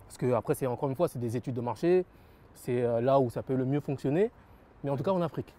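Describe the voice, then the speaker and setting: Young man, french, dark mellow tone, earnest, repeats himself, lots of fillers. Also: mid-range voice, quick pace. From France. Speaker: rap label founder. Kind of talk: interview answers.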